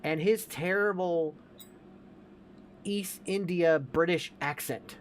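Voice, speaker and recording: A man's voice: fairly high pitch, slow pace. Opinionated, profane man over background noise. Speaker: podcast co-host. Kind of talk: casual chat about movies.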